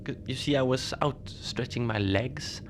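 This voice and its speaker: A man playing a mild, lonely Antarctic station manager in a cozy horror audio drama, speaking in a hesitant, understated deadpan as he logs his shift. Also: medium pitch, unhurried, natural voice. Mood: confiding, wry. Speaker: narrator of a fiction podcast. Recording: noisy ambient bed behind the voice.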